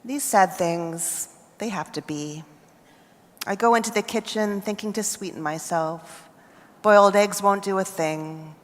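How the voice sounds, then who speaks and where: An American woman poet reading her poems aloud at a festival, with measured, deliberate phrasing and pauses between lines. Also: mid-range voice. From United States. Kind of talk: poetry reading. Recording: reverberant hall.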